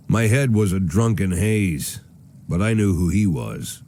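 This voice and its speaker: An actor playing a weary, cynical, aging American police chief gone corrupt, narrating a noir video game in a dry, sardonic manner. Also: male voice, low voice, warm tone, a little hiss or hum. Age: older.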